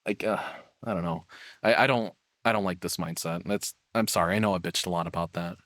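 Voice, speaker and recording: A man's voice: low voice, brisk, a bit bright. A man streamer giving casual, rambling reaction commentary in an informal, hedging way.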